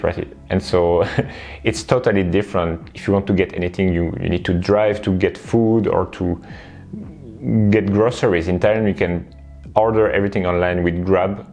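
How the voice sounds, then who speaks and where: A man from Belgium, talking casually to his viewers and often dropping in "like" and "right?". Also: deep voice. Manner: relaxed, chatty, many fillers and tag questions. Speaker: vlogger and online coach. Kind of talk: casual talk to camera.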